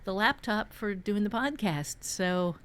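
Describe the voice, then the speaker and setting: A woman's voice: mid-range voice, expressive, unhurried, smooth. Female author-teacher co-host, middle register, informal conversational podcast delivery. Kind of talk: casual conversational chat.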